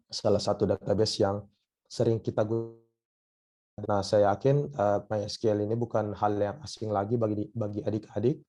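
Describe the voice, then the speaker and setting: A hoarse man with a cough, giving a university lecture online and often pausing on filler sounds. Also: on the low side, fairly even intonation, dark tone. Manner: hesitant, many filler sounds.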